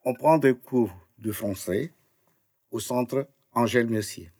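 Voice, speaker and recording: A man's voice: mid-range voice, slow, darkish tone, no echo, clean studio sound. Hesitant man speaking learner's French. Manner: hesitant, many fillers, searching for words. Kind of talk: simple reportage and interview answers.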